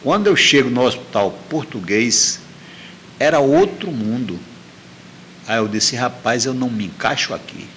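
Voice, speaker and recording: A man's voice: medium pitch, expressive, moderate pace, a bit bright, slight background noise, low-quality recording. A middle-aged man telling a personal story as an interview guest, repeating phrases for emphasis.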